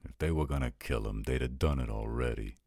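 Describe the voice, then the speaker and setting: Very deep, gruff-talking roguish guy acted by a man. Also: fairly quick, dark tone, no room sound, clean studio sound. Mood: blunt, matter-of-fact. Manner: slangy, blunt, drawling street talk. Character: gruff, blunt, roguish male supernatural scout with a code. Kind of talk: audio roleplay drama acting.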